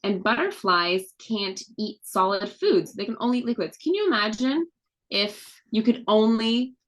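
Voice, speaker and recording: Animated mother explaining fun facts to children. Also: mid-range voice, moderate pace. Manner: animated, teacherly, asks children questions. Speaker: children's book author and mother. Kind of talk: casual read-aloud talk with children.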